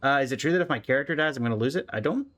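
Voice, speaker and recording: A man's voice: mid-range voice, lively intonation, racing, fairly bright tone. A man giving casual live commentary while playing a video game, with dry, muttered asides to himself and the game. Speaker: gaming streamer reacting to gameplay.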